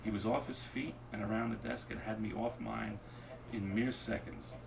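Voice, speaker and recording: A man with a low, gravelly voice reads his own fiction in a wry, deadpan way, heard from some way off. His voice is wearing thin, and the bookstore room behind him is noisy. His delivery stays dry as the hard-boiled lines land. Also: normal speed. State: losing his voice. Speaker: author reading his fiction. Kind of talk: bookstore reading, then Q&A. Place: bookstore event. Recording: distant mic, noisy room. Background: audience laughter.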